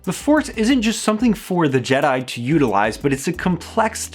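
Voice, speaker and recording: A man's voice: a little high, sing-song, normal speed. A man reading a scripted review as host, with an enthusiastic, emphatic presenter's delivery. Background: background music.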